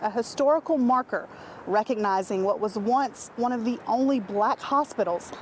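A woman reporter delivers scripted narration for a local news feature. Her delivery is measured and polished, with faint street sound underneath. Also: a little high, background noise.

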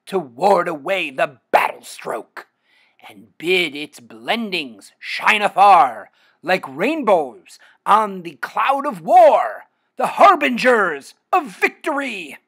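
Male poetry reader, theatrical and declamatory, big pitch swings, husky tone. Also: fairly bright tone. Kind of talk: dramatic poem recitation.

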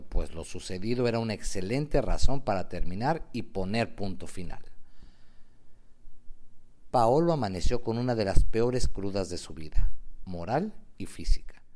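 A man with a fairly deep voice reads a scripted radio novel. His delivery is that of a measured, dramatic storyteller. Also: warm tone. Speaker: narrator. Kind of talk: scripted dramatic audiobook narration.

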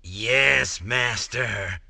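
A man's voice: medium pitch, very slow, vocal fry, sharp tone, dry recording, clean studio sound, full character voice. A man playing a sinister wizard henchman in a cartoon video game, with servile, teasing menace. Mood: taunting.

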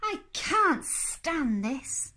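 A woman plays a worn-out father bear in a children's storybook, exasperated and long-suffering. The voice swoops high and stretches each word out slowly in emphatic complaint. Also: sing-song, sharp tone, full character voice. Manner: drawn-out, wailing, emphatic complaints. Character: tired, exasperated father bear from a picture book.

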